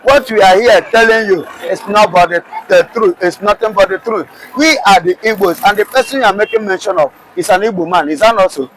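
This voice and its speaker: A man's voice: high-pitched, brisk, few pauses, a bit bright, slight background noise, rough recording. A man with a Nigerian accent, arguing passionately in a street interview with many rhetorical questions and restarts.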